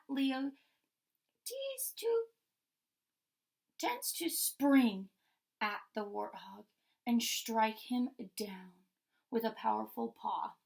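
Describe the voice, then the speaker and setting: A woman reading a children's story aloud as an expressive storyteller. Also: a little high, creaky.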